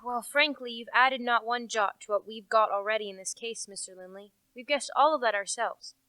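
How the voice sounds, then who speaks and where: A young woman acting as a brisk, sceptical police inspector in an amateur radio play. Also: a little high, bright, forceful tone, smooth, no room sound. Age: teenager to young adult. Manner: brisk, dismissive. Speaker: actor.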